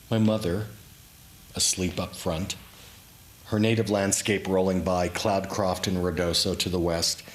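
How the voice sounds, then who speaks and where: A man giving a dramatic literary reading of his own memoir, in deliberate, measured short phrases. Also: sits low, slight background noise. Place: room in a historic house, live event. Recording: echoey room, live reading.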